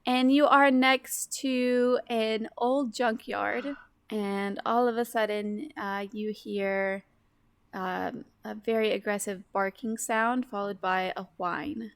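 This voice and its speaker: A woman's voice: mid-range voice, fairly even intonation, takes it slowly, clean tone, no room sound. A woman improvising scene narration as a player in a tabletop roleplaying game.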